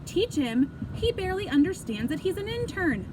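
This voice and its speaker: A woman's voice: very high voice, very animated, moderate pace, voice pushed up. A woman voicing a pompous, melodramatic young boy detective from a storybook, haughty and indignant. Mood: indignant complaining. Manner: overdramatic, haughty, formal wording. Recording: clear background noise.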